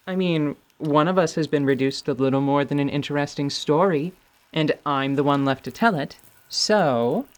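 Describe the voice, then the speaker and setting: An actor plays a blunt, idealistic adventurer in fantasy radio theatre. The voice sits at a middling pitch, and the delivery is wry and sardonic, like someone confiding hard-won convictions. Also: natural voice. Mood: wry, determined. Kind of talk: radio-theatre fantasy role-play acting.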